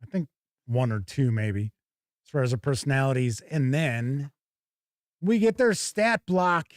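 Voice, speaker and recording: A man hosting a tabletop game show, giving casual, halting live unboxing commentary and thinking aloud. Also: medium pitch, animated, no room sound, studio quality.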